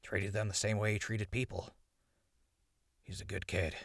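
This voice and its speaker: A wry wasteland ghoul with a deep voice, recorded dry. He is talkative and reflective, a loyal companion in an audio drama. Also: flat delivery, moderate pace, no room sound. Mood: fond, reflective. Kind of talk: post-apocalyptic audio drama acting.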